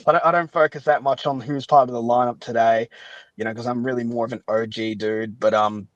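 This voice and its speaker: A man with a mid-pitched voice in a dry recording talks casually as a guest. He is opinionated and rambles, circling back to qualify his points. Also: steady tone, a little fast, no room sound, dull audio. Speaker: podcast guest. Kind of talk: casual chat.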